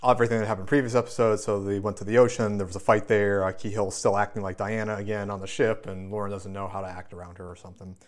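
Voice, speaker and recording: A man's voice: lowish voice, little pitch movement, fast, hardly any pauses. A man co-hosting a podcast, casually recapping episodes with lots of ums and loose asides. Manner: loose, filler-heavy recapping. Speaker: podcast co-host.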